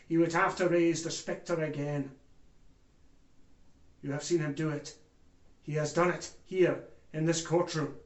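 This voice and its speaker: Man playing remorseful German ex-judge, male, theatrical courtroom monologue, grave oratory, higher-pitched voice, roomy echo. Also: lightly acted. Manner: grave, deliberate oratory with swells from hushed to loud. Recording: echoey room.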